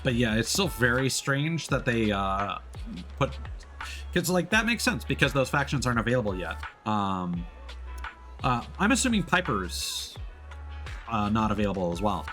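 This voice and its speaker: A man's voice: mid-range voice, big pitch swings, normal speed, fairly bright tone, soft background music. A man giving casual live game-stream commentary, thinking aloud in a hesitant, rambling way. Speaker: streamer, VTuber.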